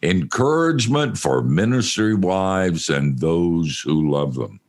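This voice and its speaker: An older man with a very deep, gravelly voice hosts an easygoing chat. He talks slowly and with lively swings in pitch, tossing in self-mocking jokes and chuckles. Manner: joking, self-mocking, laughs often. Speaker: radio show host. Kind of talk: casual interview chat.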